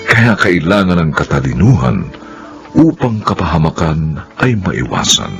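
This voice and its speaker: A man's voice: a bass voice, big pitch swings, a little slow, vocal fry, soft background music. A man with a Filipino accent delivering dramatic scripted narration for a radio drama.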